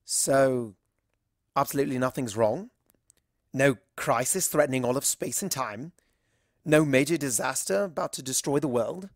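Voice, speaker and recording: A man's voice: medium pitch, normal speed, no echo, studio quality, lightly acted. A man voicing an eccentric, dramatic male pony doctor in a storybook reading, with an earnest theatrical manner. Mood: exasperated. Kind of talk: storybook character dialogue.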